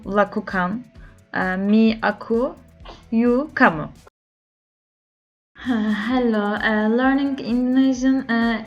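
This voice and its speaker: Slow, halting woman with Turkish accent. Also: mid-range voice. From Turkey. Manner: halting, many filler "uh"s. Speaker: language learner. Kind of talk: learning diary vlog. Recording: slightly dull lo-fi sound.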